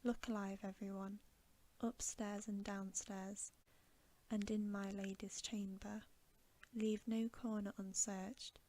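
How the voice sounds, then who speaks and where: A noble, commanding storybook lion, voiced by a woman reading ASMR. He gives orders briskly and with authority, in a soft half-whisper. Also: mid-range voice, flat delivery, a little hiss or hum, lightly acted.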